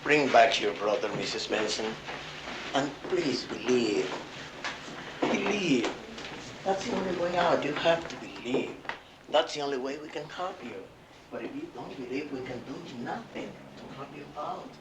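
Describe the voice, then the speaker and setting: A man's voice: mid-range voice, lively intonation, normal speed, lightly acted. An actor playing an intense spiritist healer, a solemn, commanding man. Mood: solemn, insistent. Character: intense male spiritist healer urging belief. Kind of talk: film drama acting. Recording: echoey room with background noise.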